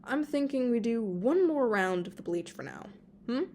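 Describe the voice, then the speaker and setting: A woman's voice: on the high side, lively intonation, moderate pace, darkish tone, a little hiss or hum, pitched above the performer's own voice, close to an everyday voice. A woman voicing a playful, teasing male fae student who fusses and instructs, in a storybook reading. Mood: breezy. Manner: breezy, chiding, instructing.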